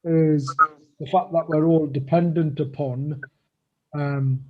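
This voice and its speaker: A man co-hosting a casual business chat, his voice a little croaky, speaking hesitantly with many fillers and pauses. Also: a little high, steady tone, phone-quality sound. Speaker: co-host.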